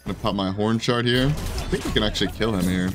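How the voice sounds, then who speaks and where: A man with a hoarse, scratchy voice giving casual, rambling commentary over his own gameplay, full of fillers and restarts. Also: lowish voice, fast, music in the background. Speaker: gaming video creator.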